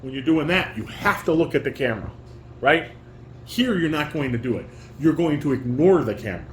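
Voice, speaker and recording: A fairly high-voiced man acts as a dad coaching a scene, reading out directions and correcting as he goes. He speaks in an instructive, informal way, recorded in an echoey room with some noise. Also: expressive, brisk. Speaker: father directing a family table read.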